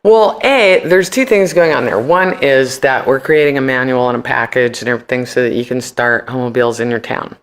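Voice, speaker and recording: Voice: mid-range voice, a little fast, hardly any pauses, clear, resonant tone. A man being interviewed on a podcast, chatting casually and animatedly in loose slang with free swearing. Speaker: podcast guest.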